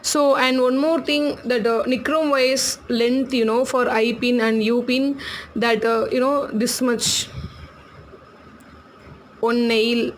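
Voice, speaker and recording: A woman's voice: fairly high pitch, steady tone, slight background noise, rough recording. Chatty Tamil-accented woman teaching crafts. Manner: chatty, laughs often, repeats instructions slowly. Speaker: craft tutorial host.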